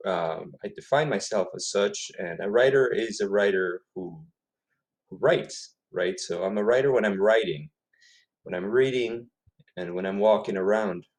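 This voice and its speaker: Deep-voiced man, thoughtful guest author. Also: normal speed, poor audio. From Ecuador. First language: Spanish. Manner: thoughtful, self-correcting, repeats words. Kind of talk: casual interview.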